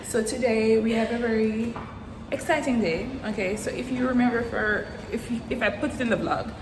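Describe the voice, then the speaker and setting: A woman's voice: fairly high pitch, fairly even intonation, a little fast, noisy background. A young woman chatting casually to camera as a vlogger, playful and teasing. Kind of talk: casual vlog chat.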